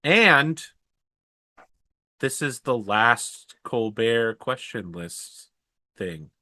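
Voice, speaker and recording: A man's voice: medium pitch, big pitch swings, drawn-out words, sharp tone, no room sound. Wry joking man, dry sound. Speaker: show host. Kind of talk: casual chat between players.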